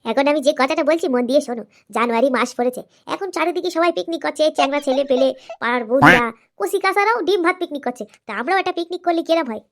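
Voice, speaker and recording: High, chattering old village buddy voiced by a woman. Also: lively intonation, racing. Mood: coaxing. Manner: coaxing, repetitive. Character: nosy, eager older village buddy. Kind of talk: comic cartoon dialogue. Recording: background music throughout.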